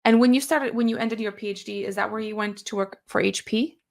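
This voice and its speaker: A woman's voice: a little high, fast talker, gravelly. A woman hosting an interview, enthusiastic and chatty, with many fillers. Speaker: interview host. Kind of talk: conversational interview.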